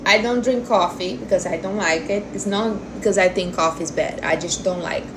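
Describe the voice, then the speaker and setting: A woman's voice: on the low side, fairly quick, background noise. A woman of forty chatting casually and informally in non-native English phrasing, like a host answering viewers. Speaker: livestream host answering viewer questions on diet. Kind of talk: casual chat.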